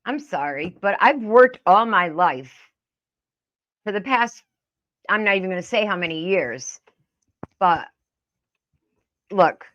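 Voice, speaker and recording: A woman's voice: mid-range voice, expressive, takes it slowly, long pauses, a bit bright. Middle aged lady ranting on a reaction vid, scolding, voice swings around a lot, kinda muffled audio. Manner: blunt, indignant, scolding. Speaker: reaction video host. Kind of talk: casual, profane reaction commentary.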